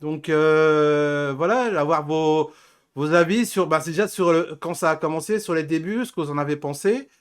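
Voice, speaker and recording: A man's voice: on the high side, normal speed, smooth. A middle-aged man hosting a show in casual, chatty talk. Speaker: show host. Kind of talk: casual live show chat.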